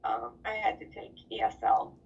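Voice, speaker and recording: A woman's voice: a bass voice, moderate pace. A woman from the United States with a slight Spanish accent on some words, chatting through interview answers with lots of fillers, on a video call. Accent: slight Spanish accent on certain words. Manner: relaxed, chatty, many fillers. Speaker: interviewee, employee of a global company. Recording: distant, dull lo-fi sound.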